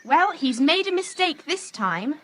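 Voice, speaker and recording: Very high, impatient tomboy girl. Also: sing-song, unhurried. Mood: annoyed. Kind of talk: children's audiobook drama acting.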